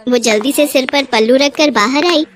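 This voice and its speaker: A woman's voice: high voice, normal speed. A woman narrating a scripted family story in a dramatic storytelling style.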